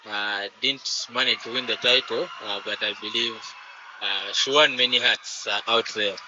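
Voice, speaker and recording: A man hosting a sports talk show, speaking slowly with many filler sounds. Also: mid-range voice, steady tone, dull audio, noisy background. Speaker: show host.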